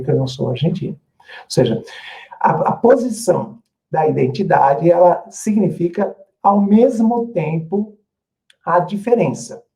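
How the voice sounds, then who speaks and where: A middle-aged Brazilian man lectures teachers in a measured, deliberate way. He repeats each idea for emphasis. His voice sits a little high for a man, but the tone is very dark and mellow. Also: rough recording. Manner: slow, emphatic, repeats points to the audience.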